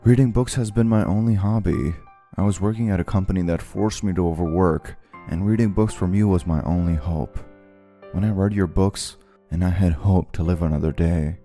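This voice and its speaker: A man's voice: low-pitched, moderate pace, mellow, faint music behind. An actor playing an earnest, easily flustered young man in a romantic comedy anime-style dub. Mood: gentle, sincere.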